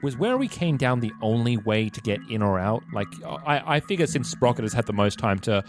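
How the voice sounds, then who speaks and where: A man's voice: medium pitch, expressive, fairly quick, soft background music. A man talking casually as a player in a tabletop roleplaying game, often questioning.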